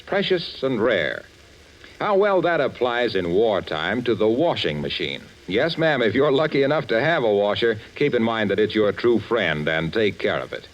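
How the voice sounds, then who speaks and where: A man announcing in scripted 1940s radio style, with a folksy salesman's patter. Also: lowish voice. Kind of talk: 1940s scripted sponsor narration. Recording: dull vintage radio recording.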